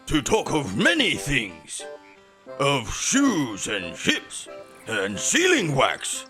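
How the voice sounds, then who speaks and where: A pompous, sentimental old walrus, as a male reader puts him on, drawling each line very slowly with a theatrical, mock-sorrowful air. The voice sits a little high and swoops wildly up and down, bright and ringing. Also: heavily acted.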